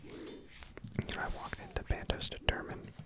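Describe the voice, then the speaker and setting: Whispering woman doing ASMR game commentary. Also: unhurried. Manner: hesitant, self-deprecating. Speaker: gaming video creator.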